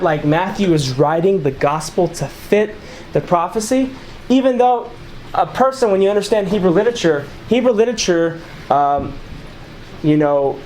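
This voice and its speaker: A man's voice: high-pitched, darkish tone, a little hiss or hum, rough recording. A man leading an informal Bible study, reading scripture passages aloud and explaining them conversationally to a small group. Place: small room with a group of listeners.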